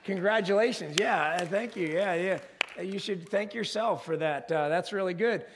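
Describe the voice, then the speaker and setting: A middle-aged man preaching with a naturally gravelly voice, roughened further by hoarseness. He talks in a slightly high register, pacing the stage, and builds to emphatic, animated peaks. He sounds close on a body mic in front of a large congregation. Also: normal speed, a little hiss or hum. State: hoarse, voice strained. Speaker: pastor. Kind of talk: sermon. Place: large church stage with an audience.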